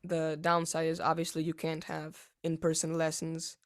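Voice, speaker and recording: Halting teenage boy with gravelly voice. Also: fairly high pitch, completely flat delivery. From United States. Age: teens. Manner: halting, many fillers and restarts. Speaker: young pianist interviewee. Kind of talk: casual interview answers.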